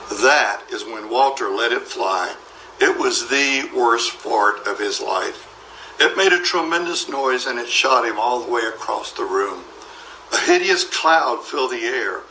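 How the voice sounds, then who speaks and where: A man reading a children's story aloud in an expressive way, acting out the dialogue. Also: mid-range voice, distant mic. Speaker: storybook reader. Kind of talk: scripted children's story narration. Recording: roomy echo, faint background noise.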